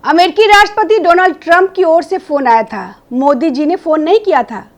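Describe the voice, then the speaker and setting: Female news presenter, high voice, emphatic and opinionated scripted political commentary. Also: lively intonation, normal speed. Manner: opinionated, emphatic rhetorical questioning.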